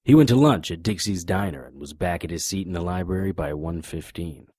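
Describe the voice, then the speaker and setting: A man playing a wry, laconic private detective in a noir audio drama, with deadpan delivery. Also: sits low, a little fast, slightly rough, dry recording, clean studio sound. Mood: brooding narration.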